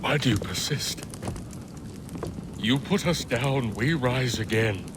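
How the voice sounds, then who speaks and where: An actor playing a cold, scornful man who taunts his enemy in a measured, contemptuous way. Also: medium pitch, very noisy, close to an everyday voice. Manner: measured, contemptuous, slow and deliberate. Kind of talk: video game cutscene acting.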